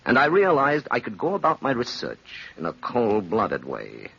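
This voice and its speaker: A man's voice: mid-range voice, huge pitch swings, moderate pace, creaky, pushed and bright, husky, exaggerated voice. An actor playing a cynical, wicked man who relishes his evil, in a theatrical, grandiose, taunting manner in an old-time radio drama. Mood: cold, scheming. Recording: dull, hissy old radio recording.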